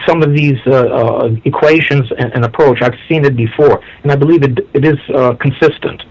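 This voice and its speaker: A man in a technical discussion on a conference call, speaking as a reviewer with deliberate, hesitant phrasing. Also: medium pitch, a little mellow, muffled recording.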